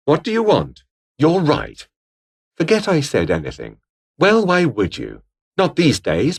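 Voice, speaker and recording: A man's voice: mid-range voice, breathy edge. A male actor playing a haughty, bitter, scheming fallen aristocrat and rebel officer in a video game, with a British accent and a pompous, sneering, formal manner. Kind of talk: video game character dialogue.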